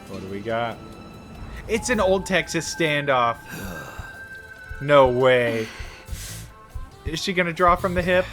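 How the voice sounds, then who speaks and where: A man's voice: a little high, lively intonation, slow, long pauses, fairly bright tone. Casual man reacting, faint music behind. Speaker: video creator reacting to game trailers.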